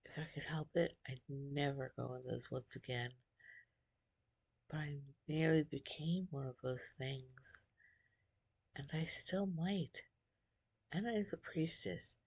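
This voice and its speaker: A woman's voice: low-pitched, slow pace, pauses often, darkish tone, breathy edge, dry recording. A woman reading a first-person story aloud as dramatic narration. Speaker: live streamer reading a story. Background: music.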